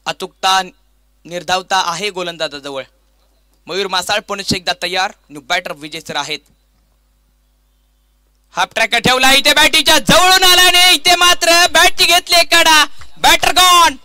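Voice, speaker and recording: A Maharashtrian man calls cricket live in a high, piercingly bright and forceful voice. The sound is clean and crisp. His delivery is grandiose and dramatic, leaning on repeated stock phrases. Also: moderate pace, pauses often, no room sound. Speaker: cricket commentator. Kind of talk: live play-by-play sports commentary.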